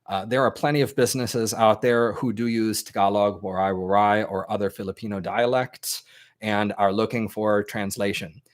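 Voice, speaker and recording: Man, American, lowish pitch, casual livestream advice, filler-heavy. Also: level tone, moderate pace. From United States. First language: English. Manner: informal, lots of ums and restarts, friendly. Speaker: host. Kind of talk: casual advice monologue to a live chat.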